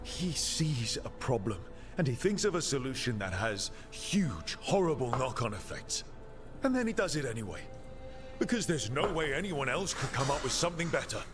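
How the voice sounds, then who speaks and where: A man's voice: medium pitch, big pitch swings, normal speed, music in the background, natural voice. An actor playing a blunt male adventurer in a fantasy video game, speaking in a pointed, earnest way. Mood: bitter sarcasm.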